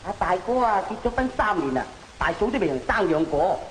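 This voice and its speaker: An actor playing a playful, talkative young husband and dutiful son in an old Cantonese opera film, with a teasing, theatrical delivery. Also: male voice, high-pitched, very animated, fairly quick. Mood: eager, delighted. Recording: noisy old film soundtrack, reverberant.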